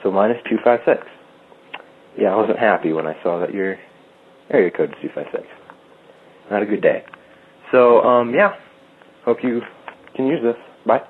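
A man's voice: lowish voice, animated, moderate pace, pauses often, husky. A man leaving a casual, slightly rambling voicemail as a listener calling in. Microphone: telephone. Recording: muffled, tinny phone call.

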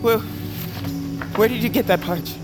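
A woman's voice: mid-range voice, a little slow, over loud music, lightly acted. A woman as a defiant captive bird-folk assassin. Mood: defiant, disbelieving. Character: defiant, desperate young female bird-folk assassin, held prisoner. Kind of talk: audio drama acting.

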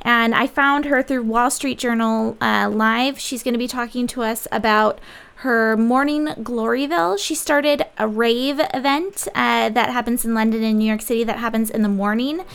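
Bubbly high-voiced woman hosting a live show. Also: fairly even intonation, moderate pace, gravelly, fairly bright tone, clear, resonant tone. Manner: bubbly, effusive. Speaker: host of live web show.

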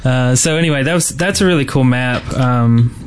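A man's voice: medium pitch, normal speed, clear, resonant tone. A man co-hosting a casual gaming podcast, talking in a hesitant, rambling way with trailing thoughts. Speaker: podcast co-host.

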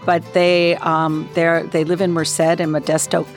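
A woman being interviewed about an arts project, explaining things with pauses as she searches for words. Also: lowish voice, flat delivery, soft background music. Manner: hesitant, pausing to find words.

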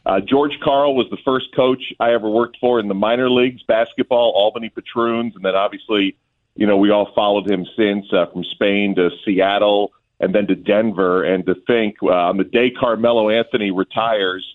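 A man's voice: fairly low pitch, steady tone, normal speed. A man guesting as a play-by-play announcer, giving casual sports analysis over a narrow, phone-like connection. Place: remote link from an arena. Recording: narrow tinny phone-like line.

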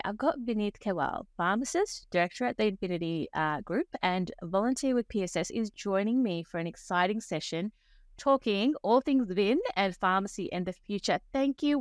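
A woman's voice: mid-range voice, animated, normal speed, dry recording, studio quality. A woman hosting a pharmacy podcast, upbeat and bubbly, laughing often with her guest. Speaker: podcast host. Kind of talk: podcast interview.

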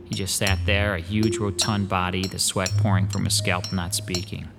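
A man's voice: sits low, flat delivery, normal speed, creaky, loud music behind the voice. An actor playing an uneasy male artist who narrates his own story in a radio drama, hesitant and polite. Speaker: narrator and lead character.

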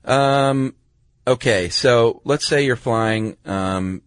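A man's voice: medium pitch, drawn-out words, clear, resonant tone, no room sound, studio quality. A man with an American accent co-hosting a podcast in casual, laid-back, playful conversation. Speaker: podcast co-host.

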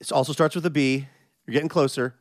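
A man's voice: medium pitch, steady tone, fast. American radio host, man with scratchy voice. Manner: casual, conversational. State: slightly scratchy voice, under the weather. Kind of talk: scripted radio intro, casual banter.

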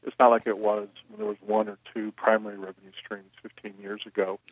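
A man who runs a record label, answering interview questions in a measured way, with frequent "you know" fillers. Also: fairly low pitch, flat delivery, dry recording, narrow, tinny audio.

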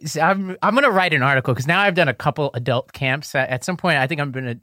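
A man co-hosting a podcast in casual chat, animated and quick to laugh. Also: on the high side, dry recording, studio quality. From lives in New York. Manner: chatty, laughs often, animated. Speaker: podcast co-host.

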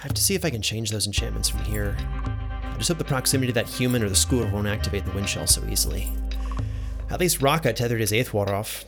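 A man's voice: on the low side, fast talker, natural voice. A man acting a pensive enchanter archivist who thinks aloud in a fantasy audio drama. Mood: frustrated. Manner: musing to himself, thinking aloud. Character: pensive male enchanter archivist, muttering over his experiments. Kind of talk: audio drama monologue, scripted credits. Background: music.

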